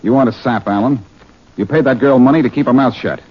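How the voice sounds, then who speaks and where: An actor playing a wisecracking, cocky sleuth on the run in a 1940s radio detective drama, glib and sardonic. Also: male voice, medium pitch, husky, natural voice. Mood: probing. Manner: glib, sardonic, quick with quips. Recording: muffled, faintly noisy 1940s radio recording.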